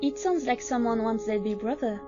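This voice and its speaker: A woman voicing a gentle, doting skeleton monster mother, tender and reassuring, in an animated comic dub. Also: a little high, moderate pace, lightly acted. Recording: muffled audio with music underneath.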